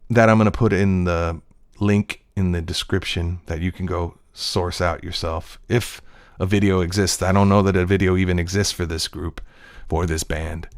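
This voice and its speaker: Low-voiced man musing at his desk. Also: a bit bright, no echo, studio quality. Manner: digressive, reflective storytelling. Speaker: voice-over actor. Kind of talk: casual solo monologue.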